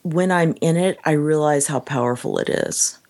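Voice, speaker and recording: A woman with a low voice co-hosts a relaxed podcast conversation. She speaks earnestly and reflectively and laughs easily between her thoughts. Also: normal speed. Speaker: podcast co-host. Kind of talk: casual chat.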